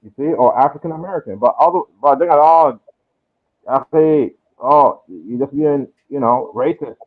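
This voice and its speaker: A man talking as a guest in a casual discussion, relaxed and thinking aloud with lots of fillers. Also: mid-range voice, moderate pace. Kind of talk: casual chat. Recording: tinny phone-like line.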